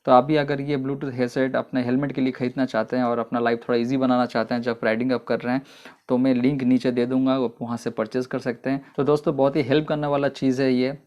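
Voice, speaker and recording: A man giving a casual, unhurried product review in a vlog, explaining step by step with frequent fillers. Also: medium pitch, little pitch movement, highs cut off.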